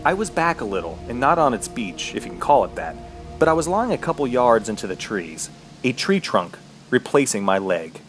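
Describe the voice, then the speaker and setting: Horror radio play: male actor as injured, dazed narrator, mid-pitched, halting and musing, very animated intonation. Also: faint music behind, natural voice. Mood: bewildered, musing. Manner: halting, musing, talking to himself. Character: dazed, injured man trapped in woods, narrating. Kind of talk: first-person radio horror drama narration.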